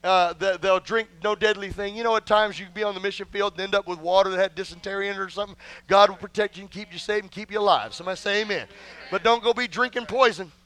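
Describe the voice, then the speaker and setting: A male pastor preaching a sermon to his church, emphatic, with folksy humor and bursts of shouting. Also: high-pitched, fairly even intonation, fast, clean tone. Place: church sanctuary.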